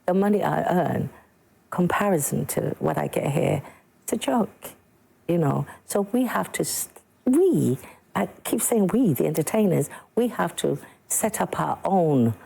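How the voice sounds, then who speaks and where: A woman from Ghana speaks as a musician guest in a TV studio. Her voice sits low and gravelly, with a husky, mellow tone. She drives her points home emphatically and often repeats a word for stress. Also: animated, measured pace, low-quality recording. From Ghana, Sekondi-Takoradi. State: a bit slow this morning. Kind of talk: morning TV studio interview.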